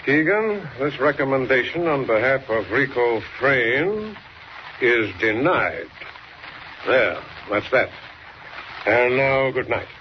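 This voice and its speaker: An actor playing a stubborn, commanding governor in a 1940s radio drama, brusque and blustering. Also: male voice, medium pitch, animated, slow. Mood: firm, resolute. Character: stubborn, blustering state governor, a grown man. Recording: dull, noisy old radio recording.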